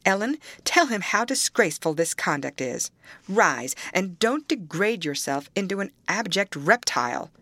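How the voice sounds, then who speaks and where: A woman's voice: fairly low pitch, big pitch swings, unhurried, fairly bright tone, no echo. A woman reading aloud, voicing a proud young woman who scolds sharply and demands answers. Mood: scornful.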